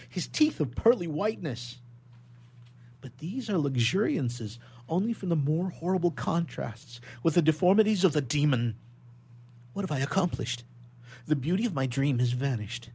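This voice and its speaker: An actor playing an anguished, horror-stricken young scientist in a melodramatic radio play, declaiming in a halting, overwrought way. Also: male voice, fairly high pitch, slightly rough. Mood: horrified. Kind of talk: melodramatic 19th-century stage acting in radio theatre.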